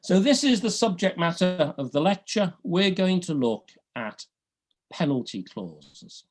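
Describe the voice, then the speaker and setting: A man with a Liverpool accent giving an academic law lecture, with dry humour and a measured, deliberate delivery. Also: high-pitched, big pitch swings. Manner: dry humour, deliberate, rises and falls a lot. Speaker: law professor.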